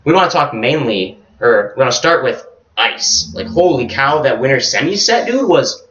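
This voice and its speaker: A man's voice: mid-range voice, sing-song, moderate pace, fairly bright tone. A man co-hosting a casual esports recap, talking like an enthusiastic fan with slangy exclamations. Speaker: co-host of an esports recap show. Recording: roomy, distant mic, dull lo-fi sound.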